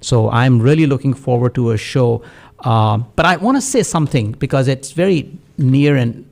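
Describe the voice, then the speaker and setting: A man from Pakistan, a guest community activist, speaking in a measured, reflective way with frequent fillers in a studio talk show. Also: mid-range voice, vocal fry, darkish tone. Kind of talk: earnest talk-show conversation.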